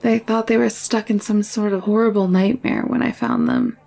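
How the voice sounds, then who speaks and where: Woman playing a breathy, anxious young alien nurse. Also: a little high, fairly even intonation, moderate pace. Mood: confiding, insistent. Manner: hushed, hesitant, confiding. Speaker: voice actor in audio roleplay. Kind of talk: intimate scripted audio drama acting.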